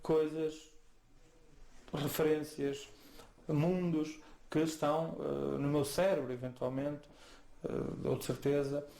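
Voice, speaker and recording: A man with a European Portuguese accent, a native Mirandese speaker, reflecting in an interview in a measured, hesitant, rather formal way. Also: on the high side, flat delivery, vocal fry, warm tone. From Miranda region of Portugal. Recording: roomy echo.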